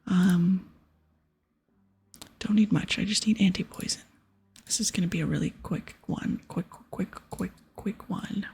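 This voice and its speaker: A woman host whispering softly and breathily as she gives gentle, chatty ASMR commentary on a video game. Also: mid-range voice, husky.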